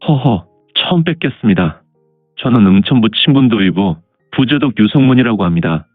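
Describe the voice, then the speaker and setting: 남자가 연기하는 낮은 목소리의 정중한 고관. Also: male voice, on the low side, animated, normal speed, no room sound. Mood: courteous. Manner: courteous, formal. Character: polite high-ranking male government officer. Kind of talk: Korean martial-arts audio drama acting. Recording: phone-like narrow sound, music behind.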